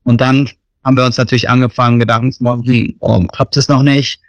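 A man from Germany answering an interviewer's questions. He pauses a lot and keeps confirming with short words. His pitch is middling, and the sound is dry and close. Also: level tone, dry recording, dull audio. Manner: hesitant, lots of fillers and "genau". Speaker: missionary guest.

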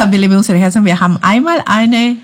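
Woman, earnest podcast guest, mid pitch. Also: a little fast. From Guatemala. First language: Spanish. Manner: earnest, searching for German words. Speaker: ministry guest. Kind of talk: podcast interview.

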